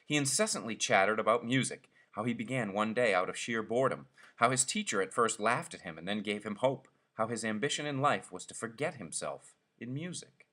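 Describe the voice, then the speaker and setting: A man's voice: fairly low pitch, lively intonation, fairly quick, hardly any pauses, pushed and bright. A man narrating a short story expressively, as a reader telling it aloud.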